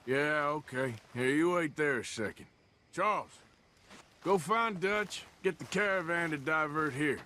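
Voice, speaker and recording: An American man playing a gruff, world-weary outlaw in a western game, terse, blunt and folksy. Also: on the high side, a little slow, pushed and bright, a little hiss or hum. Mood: gruff, practical. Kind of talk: cinematic western game acting.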